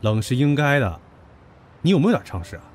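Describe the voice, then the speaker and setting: An actor in an audio drama playing a brash, possessive younger businessman, a blunt, cocky and teasing lover who bickers gruffly. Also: mid-range voice, big pitch swings, quick pace, faint music behind, natural voice. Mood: scolding, gruff.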